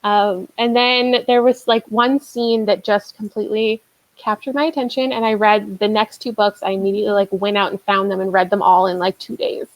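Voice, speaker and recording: A woman's voice: mid-range voice, fairly even intonation, normal speed, dry recording, low-quality recording. A woman co-hosting a casual book discussion, telling things in an informal, emphatic way. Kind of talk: casual chat about books.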